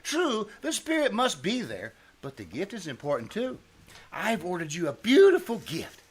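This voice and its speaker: A man's voice: high voice, huge pitch swings, normal speed, slightly rough, full character voice. A man playing a shy, naive bachelor schoolteacher with a stammering, earnest manner in old-time radio comedy style. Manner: stammering, bashful. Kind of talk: old-time radio comedy acting before a live audience. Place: live stage in a small-town theater.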